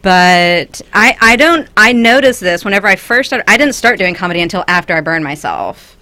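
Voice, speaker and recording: A young woman from West Texas, a stand-up comic being interviewed, talking frankly and crudely with quick jokes. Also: medium pitch, lively intonation, pushed and bright, dry recording. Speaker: stand-up comic and booker, guest. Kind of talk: casual podcast interview. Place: small studio.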